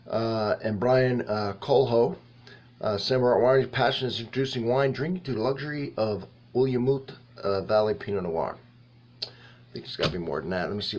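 A man in his fifties giving a casual, halting tasting review to camera, rambling and thinking aloud in a small indoor room. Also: fairly low pitch, a little mellow, slight background noise. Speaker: wine reviewer and host.

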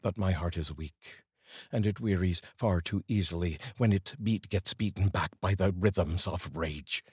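A middle-aged man speaking in an earnest, deliberate way, like a host reading poetry aloud, up close to a studio microphone. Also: sits low, slightly rough, no room sound. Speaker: host and voice-over reader. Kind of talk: solemn, measured poetry reading and personal address to viewers. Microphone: large studio microphone, up close.

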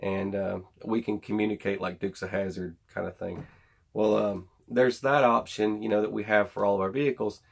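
A man in his thirties with a low voice and plenty of creak. He talks informally, like someone showing gear at his own workbench, wandering from point to point in a folksy, unscripted way. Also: fairly even intonation, normal speed. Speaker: host of a gear review channel. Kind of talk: casual product unboxing and review chat. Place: indoor home workshop.

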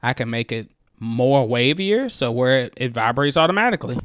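A man in his thirties, an excitable, conversational host walking through music software in a home studio on a headset mic. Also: medium pitch, normal speed, clear, resonant tone, no echo. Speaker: music producer, tutorial host. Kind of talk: screen-share software tutorial. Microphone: headset with boom mic.

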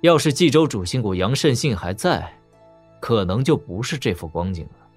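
An actor playing a composed young prince, laid-back and quietly commanding, in a Chinese wuxia audio drama. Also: male voice, mid-range voice, very animated, normal speed, slightly rough, faint music behind. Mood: musing.

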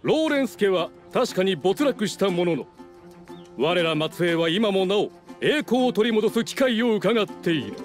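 A haughty young-blooded noble of a fallen house in a game cutscene. His voice sits high for a man, pompous and full of scorn, with prominent music underneath. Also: animated, normal speed. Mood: scornful, proud. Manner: pompous, scornful, archaic lordly speech. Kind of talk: theatrical video game dialogue.

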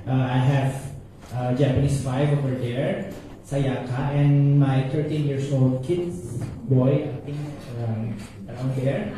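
A man from Indonesia introducing himself before a sermon, halting and friendly, with many fillers, speaking into a handheld mic. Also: mid-range voice, flat delivery, far from the mic, noisy background. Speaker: lay preacher. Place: large church hall, indoors. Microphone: handheld mic, far camera. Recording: echoey hall recorded from afar.

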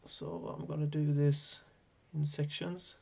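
A man in his thirties with a Swedish accent, a Swedish native speaker explaining fly tying in a relaxed, hesitant way from a small home workshop. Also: a little high, slow pace, vocal fry, breathy edge. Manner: relaxed, hesitant, thinking aloud. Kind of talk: casual tutorial narration.

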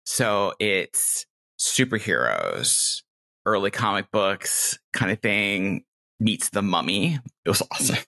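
A woman's voice: a bass voice, very animated, slow, pushed and bright, poor audio. A middle-aged woman chatting casually and enthusiastically as a podcast guest, telling anecdotes. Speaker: podcast guest, game master.

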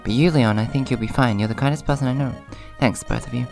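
A man hosts a casual let's play in a hoarse, subdued voice at an average pitch. He moves between rambling comments and reading on-screen dialogue. Music plays clearly behind him. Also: fast, a little mellow. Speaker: gaming video host. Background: video game music.

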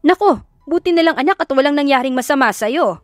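A woman voicing a gentle, concerned middle-aged father in an audio drama, caring and softly questioning. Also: high voice, lively intonation, a little fast, dry recording, studio quality, faint music behind, voice pushed up.

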